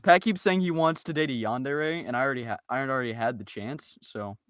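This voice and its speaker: A man's voice: medium pitch, brisk, a bit bright, clear, resonant tone, no echo, studio quality. A man chatting casually on a group podcast, telling anecdotes in slangy, informal language. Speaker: podcast member. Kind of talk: casual group chat, storytelling.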